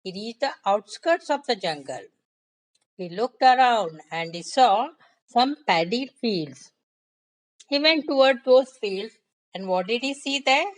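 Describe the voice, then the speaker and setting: Female kids' storyteller, Indian accent, higher pitch, slow deliberate delivery that quizzes the listeners. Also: lively intonation, poor audio. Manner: addresses listeners with questions, deliberate phrasing. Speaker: children's storyteller, host. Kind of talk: storytelling narration for young children.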